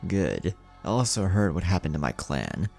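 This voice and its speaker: A man's voice: sits low, very animated, normal speed, vocal fry, a little mellow, breathy edge, heavily acted. A man voicing a cold, imperious male warlord villain in an anime story, curt and commanding, with music behind.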